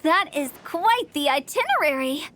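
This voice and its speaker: A woman's voice: sits high, sing-song, moderate pace, fairly bright tone. A woman playing a quirky, curious young woman in a video game, teasing, wordy and theatrical in her delivery. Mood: breezy.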